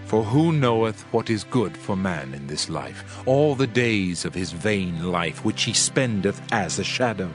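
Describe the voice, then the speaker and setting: Solemn ancient sage, low-voiced and measured, declaiming scripture over music. Reflective dramatized audio Bible read. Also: male voice, expressive. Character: solemn, reflective male preacher of ancient wisdom. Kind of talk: dramatized King James scripture reading. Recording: music under the voice. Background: background music.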